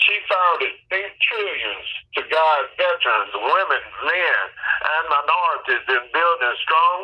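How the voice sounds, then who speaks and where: A man hosting a conference call, briskly reading a formal introduction of the guest. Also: fairly high pitch, husky, muffled recording. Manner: brisk, formal announcer delivery. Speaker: call host.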